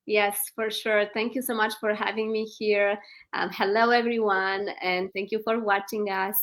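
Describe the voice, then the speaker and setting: A woman's voice: mid-range voice, flat delivery, moderate pace, a bit bright. A woman in her thirties from Romania, a guest in a live online interview, talking earnestly with many ums and restarts.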